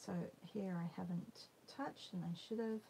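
A woman talks through a live painting demo in a medium-pitched voice with plenty of fry. She is halting and musing, half speaking to herself as she works. There is a faint noise behind her. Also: fairly even intonation, warm tone, rough recording. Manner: halting, musing, murmurs to self while working. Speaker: art demonstrator. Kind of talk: live painting demo, casual thinking aloud. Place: indoors at a painting table.

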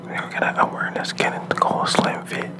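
A man whispering laid-back ASMR commentary into a close mic while browsing clothes online. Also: a little fast. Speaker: ASMR creator. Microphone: close studio mic. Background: gum chewing.

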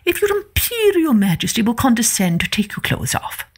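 A man's voice: extremely high pitch, wildly swooping pitch, normal speed, husky, voice pushed up, full character voice. A woman storyteller voicing an obsequious, persuasive male swindler weaver in a storybook tale. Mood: fawning. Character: fawning male swindler weavers flattering their emperor.

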